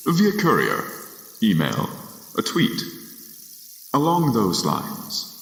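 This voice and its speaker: A man's voice: lowish voice, wildly swooping pitch, slow, vocal fry, fairly bright tone, full character voice. A young man acting in a radio drama as a polite, businesslike Death figure with a hesitant, understated, formal manner. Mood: hesitant, earnest. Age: young adult. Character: polite, unhurried Death-like collector, modest and businesslike. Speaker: student voice actor. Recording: echoey, long reverberant room sound.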